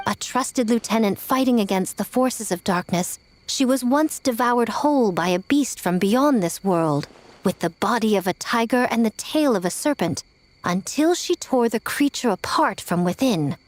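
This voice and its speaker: A woman playing a poised teller of grim legends in a fantasy game, with deliberate, dramatic delivery. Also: fairly high pitch, natural voice. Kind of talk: scripted fantasy game dialogue. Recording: music behind the voice.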